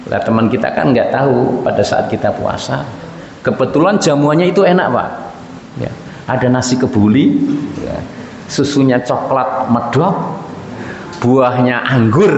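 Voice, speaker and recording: A man's voice: mid-range voice, expressive, a little slow, long pauses. A man preaching informally to a congregation in a conversational, joking way, putting questions to his listeners. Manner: recites short Arabic quotations. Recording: muffled, noisy, echoey hall sound.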